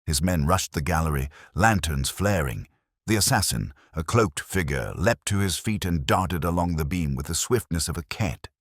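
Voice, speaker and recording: Very deep, husky male storyteller. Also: lively intonation, no echo, clean studio sound. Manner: solemn, theatrical, deliberate phrasing. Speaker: audiobook narrator. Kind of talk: dramatic scripted narration of a period mystery.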